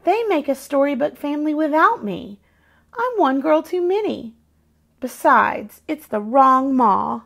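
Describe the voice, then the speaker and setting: Storybook young girl, played by a woman reader: raised pitch, emphatic, stubborn, longing. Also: expressive, measured pace, creaky, a bit bright, full character voice. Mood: hurt.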